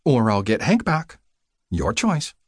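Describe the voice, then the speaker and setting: A man voicing a female nurse in an audiobook, caring but firm and gently bossy, soothing and scolding. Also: mid-range voice, sing-song, normal speed, no echo, clean studio sound, voice pushed up. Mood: chiding, teasing.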